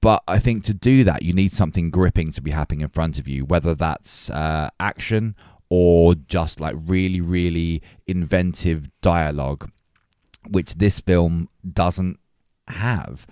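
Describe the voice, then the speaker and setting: A man's voice: low-pitched, a little slow, dark tone, smooth, dry recording, studio quality. A man co-hosting a podcast, chatting casually about films and stressing his points by repeating words. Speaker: podcast co-host. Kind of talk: casual film discussion.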